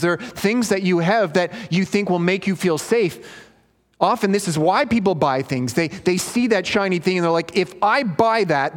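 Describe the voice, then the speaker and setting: A male pastor preaching a sermon, reading scripture and then explaining it in an earnest, conversational way. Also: high voice. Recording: long hall reverb.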